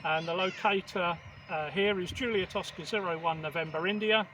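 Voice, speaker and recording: Man, fairly high voice, radio operator chat, hesitant, clear outdoor background noise. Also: moderate pace. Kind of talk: casual radio contact chat. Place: outdoors at a portable radio station.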